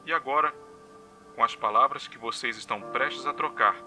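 An actor with a Brazilian accent playing a solemn wedding officiant, speaking in a formal, measured, ceremonial way. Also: male voice, medium pitch, sharp tone. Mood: solemn, celebratory. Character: solemn male wedding officiant addressing guests. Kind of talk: ceremonial speech in audio drama. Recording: music under the voice.

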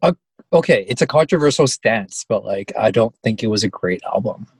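A man's voice: fairly low pitch, expressive, brisk, creaky, slightly rough, dry recording. A young man co-hosting a podcast in casual chat, sassy and teasing. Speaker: podcast co-host.

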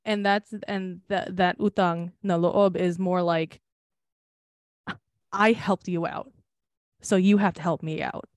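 A woman's voice: on the low side, fairly even intonation, slow, pauses often, dry recording. Candid young woman, dry close sound. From United States. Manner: candid and rambling, swearing and laughing often. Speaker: podcast co-host. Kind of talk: casual chat.

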